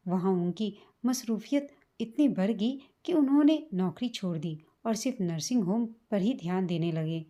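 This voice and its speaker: A woman reading a scripted, sentimental family story as a storyteller, in a measured manner. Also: medium pitch, dark tone. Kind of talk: scripted emotional story narration in Hindi.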